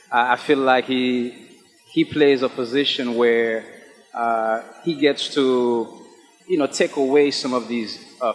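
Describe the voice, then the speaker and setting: Male actor from Haiti, Creole native, medium pitch, sincere halting interview delivery, reverberant space. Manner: halting, earnest. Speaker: stage actor, interview guest. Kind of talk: earnest interview talk.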